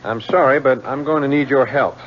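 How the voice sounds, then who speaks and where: An actor playing a dogged, brusque homicide lieutenant in a 1970s radio drama, questioning like a seasoned interrogator. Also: male voice, medium pitch, brisk, background noise, lightly acted. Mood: businesslike. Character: dogged, hard-nosed homicide police lieutenant. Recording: dull vintage radio broadcast.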